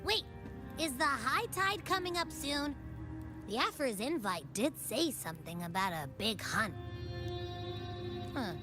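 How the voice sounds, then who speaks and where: Casting: cheeky little creature companion, game, very high pitch, slow carefree chatter. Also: female voice, sing-song, music in the background. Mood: relaxed, curious. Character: small cheeky gluttonous floating sidekick creature. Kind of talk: video game voice acting.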